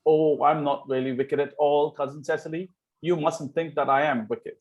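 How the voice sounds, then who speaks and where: A man reading a flippant, charming young gentleman in a Victorian comedy table read, playful with formal phrasing. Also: a little high, steady tone, normal speed, muffled recording, close to an everyday voice.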